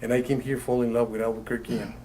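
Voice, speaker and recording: A middle-aged man from Italy, a magician doing live patter for a rope trick, talking in a chatty, halting way with many fillers. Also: medium pitch, level tone, warm tone, a little hiss or hum, low-quality recording. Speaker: magician guest.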